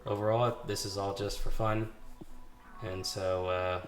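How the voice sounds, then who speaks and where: A man with a lowish voice, still hoarse from being sick, talks casually through his purchases at a garage bench. He keeps it easy and unhurried, with the odd "uh" and "um". Faint music runs in the background. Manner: relaxed, talks through items with fillers. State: hoarse, recovering from illness. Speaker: channel host, knife hobbyist. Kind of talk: casual vlog show-and-tell. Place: home garage workbench.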